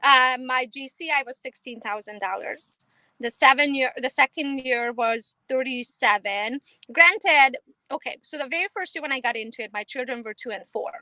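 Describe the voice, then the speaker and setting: A woman in her thirties from Romania with a Romanian accent, chatting confidently and quickly as a guest on an interview. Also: sits high, pushed and bright, no room sound, low-quality recording. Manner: confident, fast, emphatic, laughs easily. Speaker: realtor guest. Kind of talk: casual interview chat.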